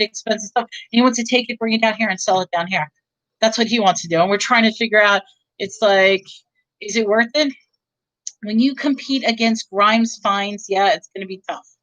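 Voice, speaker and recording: A woman's voice: mid-range voice, fairly bright tone, no echo, poor audio. A middle-aged woman with a New York accent, chatting with viewers on a livestream, rambling and correcting herself. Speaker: reseller livestream host. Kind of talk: casual live chat with viewers.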